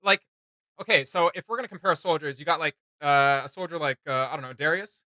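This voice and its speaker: A man gives casual reaction commentary, groping for words as he goes. His voice sits a little high and is very bright and forward. The sound is dry, with next to no room in it. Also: a little fast, dry recording. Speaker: reaction video host.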